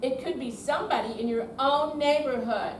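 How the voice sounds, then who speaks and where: Brief: woman as glib female bank impostor, high voice, officious and soothing, readers theater, recorded from far off. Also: moderate pace, natural voice. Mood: soothing, confiding. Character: smooth, reassuring woman con artist posing as bank caller. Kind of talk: amateur readers theater stage reading. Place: indoor senior center performance room. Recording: distant echoey hall, faint noise.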